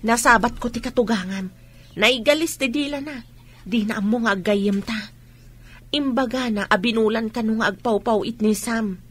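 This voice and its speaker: A woman's voice: fairly high pitch, normal speed, fairly bright tone, breathy edge, faint music behind. A woman acting a concerned, supportive friend and teacher in a radio drama. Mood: sympathetic.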